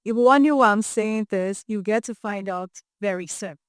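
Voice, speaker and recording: A Nigerian woman presenting as a podcast host, introducing an interview in an expressive, emphatic way. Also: medium pitch, moderate pace, no room sound, clean studio sound. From Imo State, Nigeria.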